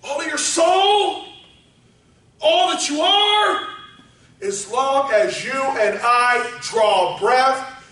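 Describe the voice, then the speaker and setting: A man preaching a sermon, moving from quiet teaching up to shouted exhortation. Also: very high-pitched, expressive, very slow, pauses often. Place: church sanctuary. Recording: echoey church hall, voice from far off.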